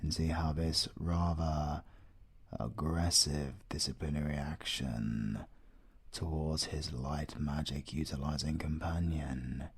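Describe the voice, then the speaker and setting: A man playing a solemn, all-knowing otherworldly advocate in an audio drama, formal, measured and cryptic, with a gravelly, breathy rumble. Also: fairly even intonation, exaggerated voice. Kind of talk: slow theatrical audio drama acting.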